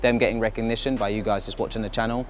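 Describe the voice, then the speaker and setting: Thoughtful low-voiced man. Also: steady tone, rapid-fire, faint music behind. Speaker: company staff. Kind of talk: casual reflective talk to camera.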